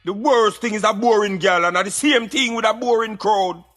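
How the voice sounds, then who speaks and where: A man's voice: high-pitched, moderate pace, a bit bright, faint music behind. A Jamaican man giving opinionated conversational commentary on a radio show, in patois with emphatic interjections.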